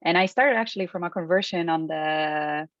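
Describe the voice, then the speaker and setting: A woman's voice: on the low side, normal speed, no echo. A young woman from Chile, casually introducing herself as a panelist over slightly dull call audio. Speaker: alumni panelist.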